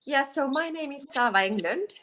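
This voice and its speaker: A woman from Finland introducing herself as a workshop guest on a video call, pausing often with fillers. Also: high voice, animated, fairly bright tone. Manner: hesitant, many fillers. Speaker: guest speaker. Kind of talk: self-introduction.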